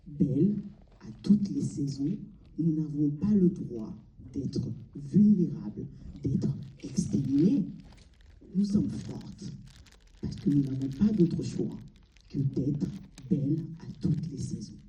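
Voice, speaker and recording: A woman from Mali delivering a solemn, deliberate theatrical monologue, pausing between short phrases. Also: deep voice, animated, dark tone. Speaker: amateur stage performer. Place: large cinema auditorium before an audience. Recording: echoey hall, distant mic.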